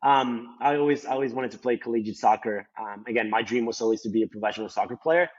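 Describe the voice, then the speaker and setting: A young man from Colombia talks quickly, his Colombian accent showing through a native Spanish speaker's English. He rambles casually, stacking fillers, at a mid-range pitch. The recording sounds slightly dull and lo-fi. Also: slightly rough. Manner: rambling, heavy on "like" and "you know" fillers. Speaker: podcast guest. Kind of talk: casual interview talk.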